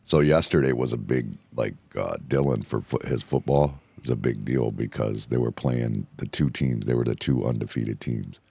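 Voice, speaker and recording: Gravelly, hoarse low-voiced man rambling. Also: moderate pace, few pauses, no room sound. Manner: rambling, excitable, repeats himself. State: hoarse from yelling. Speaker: podcast host. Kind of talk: casual bar chat. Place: indoor room, bar setting.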